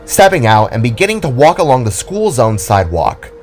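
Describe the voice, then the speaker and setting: A man's voice: medium pitch, sing-song, brisk, a little hiss or hum. A man reading scripted story narration as the narrator of an audio drama, with music behind him. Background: background music.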